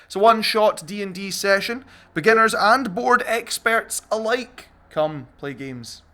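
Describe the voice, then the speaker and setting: A man's voice: high-pitched, expressive, unhurried, a crowd in the background. A man hosting a casual group podcast, chatting and reading out announcements in a jokey, self-deprecating way. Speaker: podcast host.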